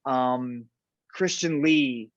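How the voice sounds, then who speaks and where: Animated man telling fight stories. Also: mid-range voice, drawn-out words, no echo. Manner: animated storyteller, fillers like "right?". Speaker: podcast guest, fight commentator. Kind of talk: casual interview chat. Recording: dull lo-fi sound.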